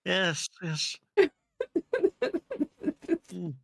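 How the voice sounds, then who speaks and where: A woman's voice: fairly low pitch, little pitch movement, drawn-out words, gravelly, bright, forceful tone, no room sound, studio quality. A woman playing a game on stream as a guest, exasperated and laughing as she talks, with faint music behind. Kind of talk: casual gaming stream chat.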